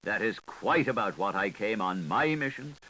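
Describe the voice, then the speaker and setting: A man's voice: fairly low pitch, expressive, normal speed, sharp tone. A man voicing a haughty, pontifical animal tyrant, pompous, imperious and declamatory, in an audiobook.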